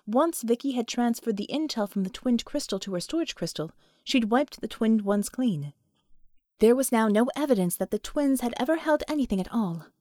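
Female audiobook narrator, fairly high pitch, expressive scripted fiction read, close dry sound. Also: no room sound.

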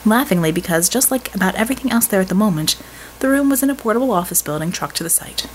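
A woman's voice: mid-range voice, animated, quick pace, a little hiss or hum. A woman reading scripted fiction as an audiobook narrator.

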